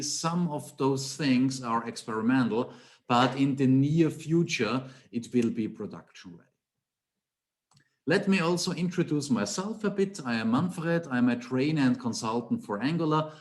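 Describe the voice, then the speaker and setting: A man's voice: medium pitch, expressive, normal speed. A man with an Austrian German accent gives a remote conference talk over a webcam call in his small home office. His voice sits in the middle range. He explains patiently, asks himself rhetorical questions and repeats key points for emphasis. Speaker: conference speaker, Angular trainer. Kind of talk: technical talk with slides.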